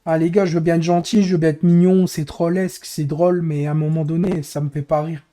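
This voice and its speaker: Hoarse man, game streamer, grumbling, exasperated, somewhat high voice, dark mellow tone. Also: little pitch movement, fairly quick. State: hoarse, losing his voice. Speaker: streamer and racing league head. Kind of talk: live racing game stream commentary.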